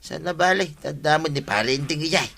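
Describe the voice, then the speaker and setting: A practical village husband from an Ilocano radio comedy. He talks firmly, with a bright, forceful edge to his voice. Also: a little high, a little fast, gravelly, exaggerated voice. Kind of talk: Philippine radio comedy-drama acting.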